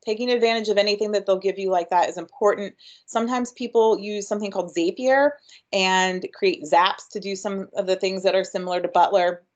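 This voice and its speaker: A woman's voice: mid-range voice, steady tone, a little fast, muffled recording. A woman giving an upbeat, chatty webinar presentation with frequent ums. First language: English. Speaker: workshop presenter.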